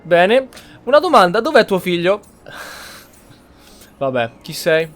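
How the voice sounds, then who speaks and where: A man's voice: high-pitched, very animated, slow pace, a bit bright, faint music behind. A man with an Italian accent giving casual game commentary, colloquial and sardonic. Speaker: gamer, streamer.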